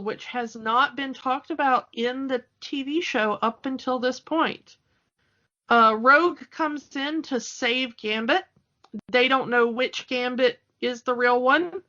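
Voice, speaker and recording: A woman's voice: high-pitched, sharp tone, highs cut off. A woman co-hosting a podcast, chatting casually through a recap with a fan's wry asides. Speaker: podcast co-host. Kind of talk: casual chat recapping a TV cartoon.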